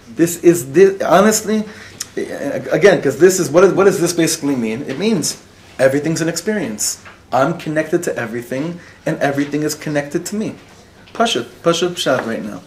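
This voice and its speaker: A man's voice: fairly high pitch, animated, normal speed, slight background noise. A man, a rabbi, teaching a live class to an audience in the room, mixing Hebrew and Yiddish words into his talk and putting questions to his listeners. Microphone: recorded for web students.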